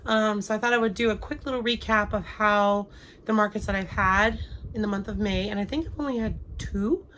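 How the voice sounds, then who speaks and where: A woman's voice: mid-range voice, fairly even intonation, a little fast, fairly bright tone, a little hiss or hum. A young woman with a cold, chatting casually to her viewers with lots of ums and restarts. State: has a cold. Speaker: crochet vlogger. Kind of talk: casual chat to camera.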